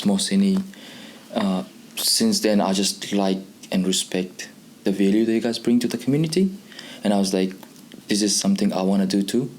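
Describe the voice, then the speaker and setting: Guy in his 20s from pakistan, english is second language, low voice, chatting casually, slight background noise. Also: darkish tone. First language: not English. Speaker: youth programme participant. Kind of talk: casual personal testimony.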